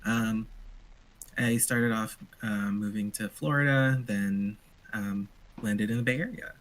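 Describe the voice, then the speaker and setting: A man from the Philippines, a drag performer, talking on an online panel in a halting way with fillers. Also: lowish voice, steady tone, poor audio. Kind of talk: casual online panel chat.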